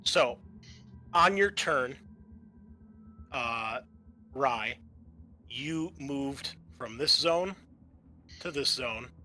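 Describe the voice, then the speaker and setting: Bright-voiced man running a tabletop game. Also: mid-range voice, expressive, very slow, pauses often, faint music behind. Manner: conversational, explanatory, improvised narration. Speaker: game master. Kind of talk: running a tabletop roleplaying game, explaining turns and narrating.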